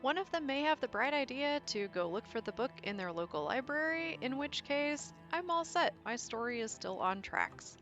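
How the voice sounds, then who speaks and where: A woman giving a conversational, explanatory narration as a content creator, telling it in an animated, emphatic way. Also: sits high, moderate pace, few pauses, lo-fi sound. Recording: voice over background music. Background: background music.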